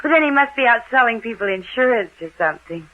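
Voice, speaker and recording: An actress playing a chatty, gracious American housewife with an effusive, hospitable manner in an old radio drama. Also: female voice, fairly high pitch, animated, quick pace, lightly acted. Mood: breezy, welcoming. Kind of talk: 1950s radio drama acting. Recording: muffled old radio recording.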